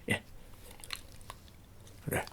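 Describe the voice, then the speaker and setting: A man speaking softly with a gravelly voice in a casual ASMR roleplay, giving low-key, hesitant, repetitive instructions as an agent. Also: extremely slow, very noisy.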